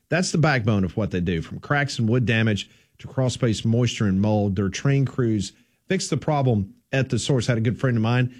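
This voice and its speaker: A man hosting a sports talk radio show, easygoing and folksy, who also reads the sponsor ads himself. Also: medium pitch. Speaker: radio host.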